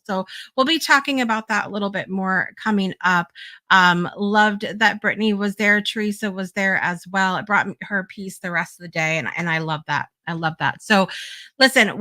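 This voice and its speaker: A woman's voice: mid-range voice, sharp tone, no echo. A woman hosting a live talk show, speaking in an earnest, encouraging way, with fillers and repeated phrases.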